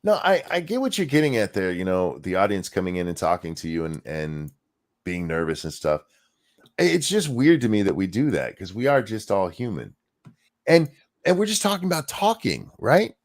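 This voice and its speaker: Male stream host, low voice, animated delivery, loose conversational rambling. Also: moderate pace. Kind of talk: casual livestream chat.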